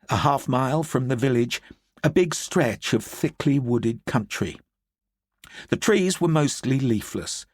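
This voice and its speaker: A male narrator reads a novel aloud with lively, varied intonation. His voice is gravelly and a little husky, and the recording is close and dry with no room sound. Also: mid-range voice, no echo. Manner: measured, expressive storytelling. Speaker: audiobook narrator. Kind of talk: scripted audiobook narration.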